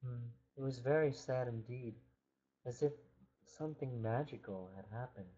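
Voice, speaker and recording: A man's voice: mid-range voice, normal speed, mellow, lo-fi sound. A man making up a fairytale aloud as he goes, drawing words out for theatrical emphasis. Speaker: storyteller. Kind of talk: improvised fairytale narration.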